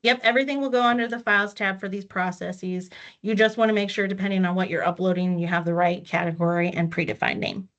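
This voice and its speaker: A woman's voice: medium pitch, fairly even intonation, fast talker, fairly bright tone. A woman trainer patiently walking staff through a records system step by step on a video call. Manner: patient, methodical step-by-step explaining. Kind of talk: online training walkthrough. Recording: dull lo-fi audio.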